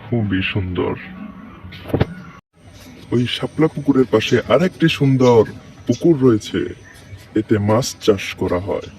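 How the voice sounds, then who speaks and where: A man's voice: mid-range voice, lively intonation, a little slow, long pauses, darkish tone, distant mic, faint music behind. A man with a Bangladeshi accent giving walking travel vlog commentary outdoors, guiding viewers around as he goes. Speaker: vlogger, tour guide to viewers.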